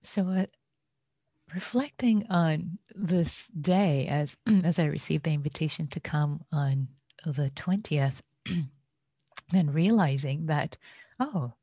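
Slow, hesitant low-voiced woman teacher. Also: dark tone, dry recording, clean studio sound. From Iran. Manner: slow, hesitant, many fillers and restarts. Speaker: Buddhist teacher. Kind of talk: dharma talk. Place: home, online session.

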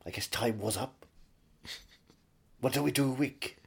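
A Welsh man trading absurd jokes and deadpan boasts in comic banter. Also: medium pitch, a little slow, vocal fry, breathy edge. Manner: playful, deadpan boasting, silly wordplay.

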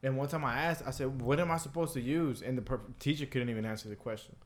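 Casual, cursing Salvadoran-born man. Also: mid-range voice. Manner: candid, profane, talks fast when excited. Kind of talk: casual chat.

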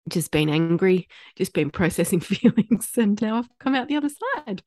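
A woman from Australia co-hosting a parenting podcast, chatting warmly with a husky, nasal voice from a cold. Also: mid-range voice, very animated, moderate pace, no room sound. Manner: warm, chatty, laughs often. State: congested from a cold. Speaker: podcast co-host. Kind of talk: casual chat.

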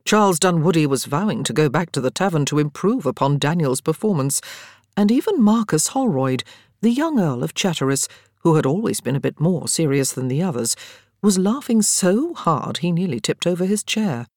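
An English woman narrates a scripted novel with wry poise. Her intonation is very animated and varied, her phrasing measured. The recording is dry and intimate, as in an audiobook studio. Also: sits low, dry recording.

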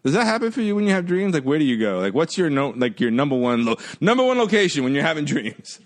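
A man in his forties who grew up in Queens, telling profane, animated stories in a casual chat and laughing often. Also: fairly high pitch, quick pace. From Jamaica, Queens, New York.

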